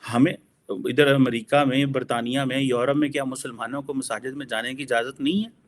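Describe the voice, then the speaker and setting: A man's voice: medium pitch, fairly even intonation, brisk. A man with a Pakistani accent explains his opinions as a political analyst in informal commentary, mixing in English phrases.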